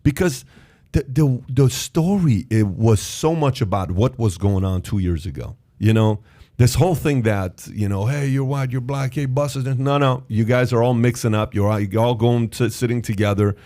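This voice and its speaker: A man's voice: mid-range voice, moderate pace, warm tone. A man from Iran hosting a podcast, chatting casually and animatedly, exclaiming and acting out quoted voices. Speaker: podcast host.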